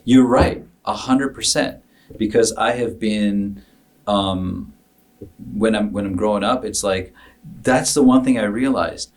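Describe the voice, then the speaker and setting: A man's voice: on the low side, a little slow. A man chatting reflectively on a podcast, telling anecdotes in an earnest way and acting out bits of dialogue. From Africa, later moved to Canada. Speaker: podcast co-host, business founder. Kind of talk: casual reflective podcast conversation. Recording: distant microphone.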